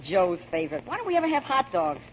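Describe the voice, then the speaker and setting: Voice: mid-range voice, sing-song, fast, close to an everyday voice. On a muffled, faintly noisy old radio broadcast, a performer plays a schoolboy kid brother. The voice swings up and down, boyish and slangy, grumbling and dodging in 1940s radio style. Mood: boyish, casual. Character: eager, slangy schoolboy youngest son.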